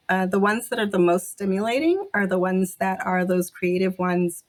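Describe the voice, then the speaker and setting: Woman from Jamaica, podcast guest, reflective and unhurried, pauses often to restart her thoughts. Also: lowish voice. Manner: reflective, many fillers and restarts. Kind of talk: casual interview conversation.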